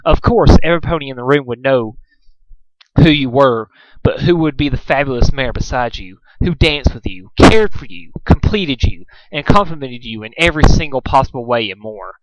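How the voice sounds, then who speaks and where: A man's voice: mid-range voice, lively intonation, normal speed, no echo, close to an everyday voice. A man voicing a vain, ladylike female cartoon unicorn with gushing, theatrical refinement. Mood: dreamy, romantic. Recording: dull lo-fi home recording.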